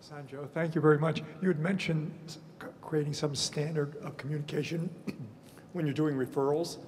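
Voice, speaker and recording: A man moderates a session and puts a question, breaking off and correcting himself. He sounds somewhat high and sits far off in a reverberant hall, with faint background noise. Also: steady tone, normal speed, a little mellow. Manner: hesitant, self-correcting. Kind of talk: asking a question.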